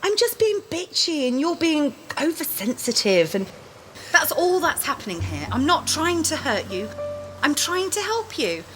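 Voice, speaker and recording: Woman acting a needy, volatile girlfriend, very high pitch, blunt and intense, audio drama, music behind. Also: big pitch swings, unhurried, fairly bright tone, exaggerated voice. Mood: angry, insistent. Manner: profane, blunt, emotionally intense. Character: volatile, needy, cutting girlfriend arguing with her boyfriend.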